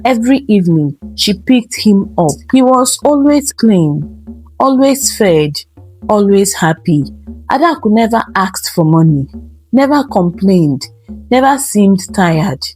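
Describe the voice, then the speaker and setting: A woman tells a dramatic scripted tale at a slow, deliberate pace. Her voice is husky and sits at a middle pitch, with faint music behind it. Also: expressive, darkish tone, low-quality recording. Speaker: storyteller. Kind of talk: dramatic scripted narration of a folktale.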